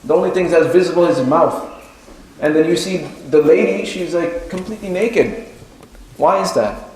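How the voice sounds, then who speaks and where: A man giving an Islamic religious lecture, explaining haltingly and repeating key phrases for emphasis. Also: on the high side, expressive, darkish tone. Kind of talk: sermon-like lecture retelling and explaining a religious story. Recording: very echoey room with faint noise.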